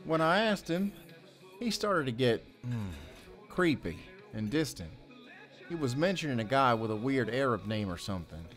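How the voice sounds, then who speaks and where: A man playing a weary, soft-hearted ex-convict handyman in an audio drama, talking in a measured, folksy way with long pauses. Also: medium pitch, sing-song, soft background music. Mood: guarded, reflective.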